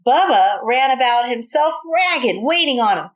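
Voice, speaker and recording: A woman teacher reading a storybook aloud to young children, pausing to explain and ask questions. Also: high voice, expressive. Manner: explanatory, pauses to question the listeners.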